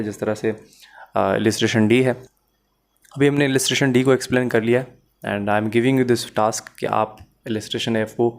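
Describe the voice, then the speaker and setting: Male law lecturer, Pakistani accent, average pitch, methodical explainer who mixes in English. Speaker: law teacher.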